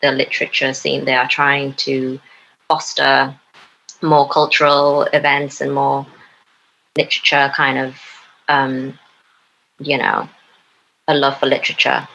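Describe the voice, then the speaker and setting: A young woman from Newcastle upon Tyne, a poet talking as a podcast guest in a hesitant way, with many pauses and fillers. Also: a bass voice, monotone, creaky, pushed and bright, muffled recording, slight background noise. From Newcastle upon Tyne, England. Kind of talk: casual interview chat.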